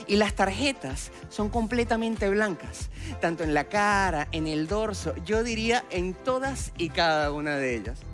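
A man's voice: high voice, a bit bright. A man in his twenties with a Venezuelan accent, performing theatrical stage magic patter and addressing judges directly through a headset mic. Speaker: stage magician, talent show contestant. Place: large TV studio theatre stage. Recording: music under stage performance. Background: music.

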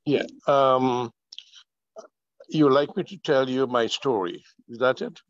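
A man's voice: mid-range voice, no room sound. Older guyanese-born guy on a zoom call, dry muffled sound, lots of ums. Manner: slow, halting, with many fillers. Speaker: guest speaker. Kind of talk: telling his life story on a video call. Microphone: video call. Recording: muffled video call audio.

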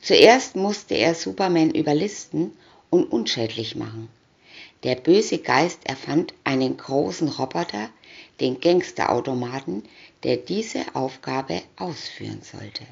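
Female storybook narrator: low-pitched, deliberate with pauses between captions, muffled lo-fi recording. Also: animated, creaky. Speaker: reader of picture-story booklets. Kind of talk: scripted narration of a children's comic story. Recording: dull lo-fi recording.

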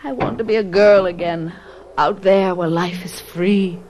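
A woman's voice: lowish voice, steady tone, unhurried, a little mellow, a little hiss or hum, close to an everyday voice, rough recording. An actress playing a willful, passionate young married woman in a radio drama, imperious and dramatic. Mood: anguished, weary.

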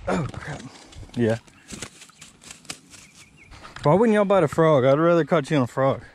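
Slow-talking young man fishing outdoors. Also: on the high side, noisy background. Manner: excited, laughing, teasing his brother. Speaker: angler filming a fishing challenge. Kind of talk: casual vlog commentary. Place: outdoors by a rural pond. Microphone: head-mounted action camera.

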